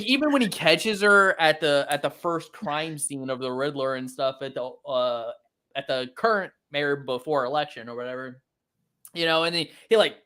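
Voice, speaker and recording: A man casually discussing a film, halting and repeating words, jokingly acting out little lines as he goes. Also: on the high side, a bit bright. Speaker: movie review show host. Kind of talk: casual chat, movie discussion.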